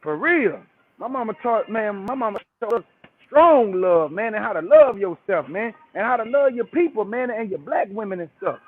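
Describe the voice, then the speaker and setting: High-voiced man on a phone line. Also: lively intonation, normal speed. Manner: preachy, emphatic, repeats "man" and "bro". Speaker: call-in guest. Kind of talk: casual call-in chat.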